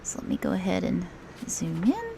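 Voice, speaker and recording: A woman reading a children's picture book aloud as a storytelling teacher, expressive and unhurried. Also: fairly low pitch, gravelly, a little hiss or hum.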